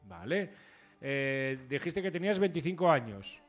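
A man's voice: fairly high pitch, very animated, soft background music. Chatty man hosting a radio chat. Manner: chatty, jokey, asks quick follow-up questions. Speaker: radio host. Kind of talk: casual radio interview.

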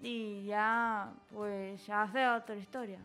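A woman's voice: medium pitch, level tone, slow pace, sharp tone, soft background music. A woman in her twenties from Spain, a radio guest who gives brief, shy answers. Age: 20s. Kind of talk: casual radio interview.

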